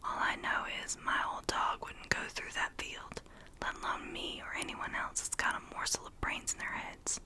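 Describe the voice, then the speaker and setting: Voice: moderate pace, lightly acted. A whispering reader doing an old shepherd. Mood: grave. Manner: whispered storytelling voice. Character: old rustic male shepherd, grave and superstitious.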